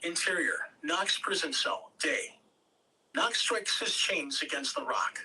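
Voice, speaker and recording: A man's voice: fairly high pitch, animated, unhurried, sharp tone, breathy edge. A man reading out stage directions as scripted narration at an amateur table read. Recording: distant room mic, faint noise.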